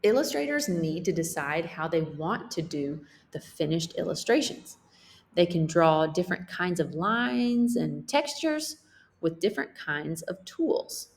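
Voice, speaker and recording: A woman teacher reading a children's picture book aloud in a deliberate, expressive way. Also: lowish voice, a little hiss or hum.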